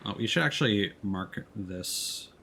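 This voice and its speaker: A man's voice: on the low side, lively intonation, unhurried, vocal fry, sharp tone, slight background noise. A man giving casual let's play commentary as a streamer, explaining and thinking aloud as he plays. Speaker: streamer playing a video game.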